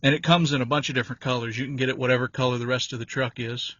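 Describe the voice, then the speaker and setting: Even-toned man, dull lo-fi recording. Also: mid-range voice, very fast. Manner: folksy, informal, rambling. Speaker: vehicle DIY video creator. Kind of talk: casual handheld walkthrough. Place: in and around a pickup truck cab. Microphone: handheld phone or camera. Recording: dull lo-fi audio, roomy echo.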